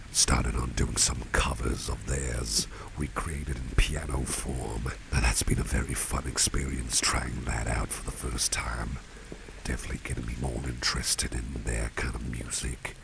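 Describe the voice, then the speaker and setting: A man playing a kindly, genteel boss in an ASMR roleplay, speaking in an unhurried, breathy half-whisper with a gravelly depth. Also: extremely low pitch, noisy background, full character voice. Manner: genteel, unhurried, repeats phrases for emphasis. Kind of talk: ASMR roleplay, soft-spoken personal attention.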